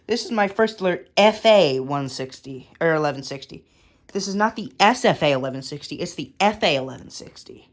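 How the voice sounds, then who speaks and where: A woman's voice: deep voice, animated, fairly quick. A woman giving a casual, matter-of-fact show-and-tell of a hobby collection in short, clipped phrases, recorded indoors at home.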